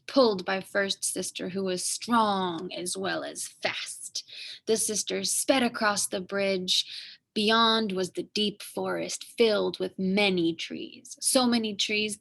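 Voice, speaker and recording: A woman reading a picture book aloud to children as an expressive, dramatic storyteller with emphatic pauses. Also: medium pitch, creaky.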